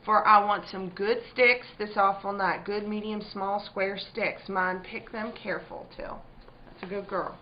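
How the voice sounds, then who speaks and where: Actress as a stern farm wife, muffled recording. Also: female voice, medium pitch, steady tone, measured pace, natural voice. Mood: wary. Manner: rustic dialect, curt and defiant. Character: stern, guarded rural farm wife under suspicion. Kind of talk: radio play acting. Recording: dull sound, faint background noise.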